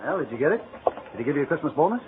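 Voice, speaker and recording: Eager fast-talking pal, old radio. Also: male voice, on the high side. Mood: eager, friendly. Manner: excitable, hesitating, stammering. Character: eager, friendly, chatty male co-worker. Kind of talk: 1940s radio acting. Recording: tinny, hissy old radio recording.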